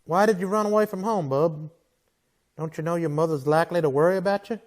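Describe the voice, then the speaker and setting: A man's voice: fairly high pitch, brisk, a little mellow, lightly acted. An actor playing a stern, wry Old West marshal in a radio drama, blunt and folksy in his manner. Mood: wry, gentle. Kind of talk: old-time western radio drama acting.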